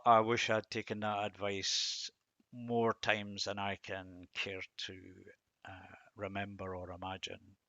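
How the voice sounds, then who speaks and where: A man giving an informal devotional commentary on verses, musing and halting, with self-deprecating asides. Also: lowish voice, pushed and bright, no echo, lo-fi sound. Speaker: host of a Bible reading series.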